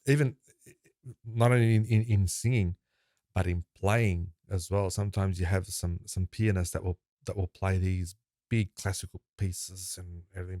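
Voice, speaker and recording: Low-voiced man hosting, rambling. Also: creaky, a little mellow, no room sound, studio quality. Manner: rambling, repeats words while thinking aloud. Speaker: podcast host. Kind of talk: podcast conversation.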